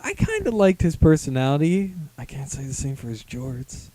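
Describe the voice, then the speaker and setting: A young adult man on a review panel, chatting casually and explaining his points in a measured, wry way. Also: mid-range voice, huge pitch swings, mellow, no room sound. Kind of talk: casual group review chat.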